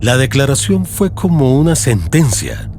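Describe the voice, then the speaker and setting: A man narrating a literary audio story in a solemn, measured, dramatic way. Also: mid-range voice, wildly swooping pitch, vocal fry, a little mellow, a little hiss or hum. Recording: music behind the voice. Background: music.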